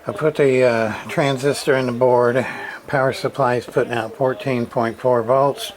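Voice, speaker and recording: A man giving a casual, halting walkthrough of a radio repair, full of fillers and restarts. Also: mid-range voice, fairly even intonation, low-quality recording.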